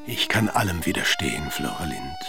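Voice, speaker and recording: An actor playing a lecherous, besotted male admirer in a radio play, crooning and swooping into falsetto. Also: huge pitch swings, moderate pace. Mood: besotted, seductive. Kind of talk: German radio play acting. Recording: loud music under the voice. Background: loud music.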